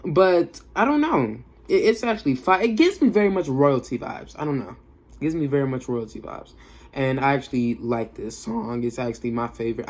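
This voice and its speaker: A young man giving a casual, off-the-cuff music review in a slangy, emphatic way, often repeating himself for effect. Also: mid-range voice. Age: young adult.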